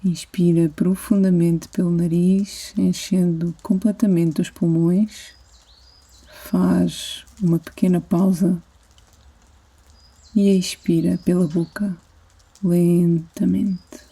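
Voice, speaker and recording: A woman with a European Portuguese accent leads a guided sleep meditation. Her voice is very dark and mellow, slightly husky, and she speaks very slowly, gently leaving long pauses between phrases. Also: fairly low pitch, flat delivery. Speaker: yoga nidra instructor.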